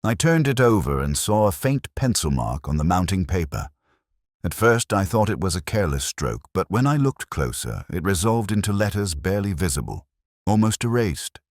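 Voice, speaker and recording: A man narrating a scripted detective drama as a weary, reflective police inspector, in a brooding, measured, literary manner. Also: low-pitched, very animated, no echo, clean studio sound. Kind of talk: scripted first-person audio drama narration.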